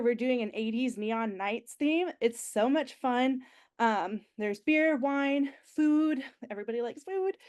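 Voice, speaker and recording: A woman's voice: a little high, darkish tone. A young woman with a nasal voice, recovering from being sick, giving an enthusiastic, chatty orientation presentation with frequent ums. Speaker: university alumni relations staff presenter.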